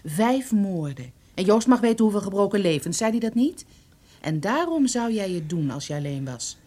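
A detective's bold female companion in a radio drama. Her medium-pitched voice is familiar and direct, with a teasing, persuasive manner. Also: big pitch swings, normal speed, mellow. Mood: knowing, persuasive. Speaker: actress in a radio play. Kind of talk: Dutch radio drama acting.

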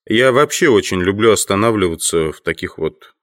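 Polite teacher, amateur entomologist, audio drama, lowish voice, patient, educated manner. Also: male voice, expressive, normal speed, fairly bright tone. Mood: courteous. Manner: courteous, explains patiently.

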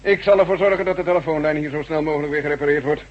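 A lo-fi radio play recording of an actor as a male police lieutenant. He is brisk and courteous, with a slightly high, husky voice and an air of being in charge. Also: fairly even intonation, close to an everyday voice. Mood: businesslike. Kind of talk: Dutch radio play acting.

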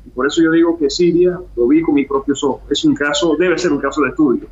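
Venezuelan analyst on a dull remote line. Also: male voice, fairly high pitch, fast, reverberant. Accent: Venezuelan Spanish. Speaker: international analyst, guest. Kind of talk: remote radio interview.

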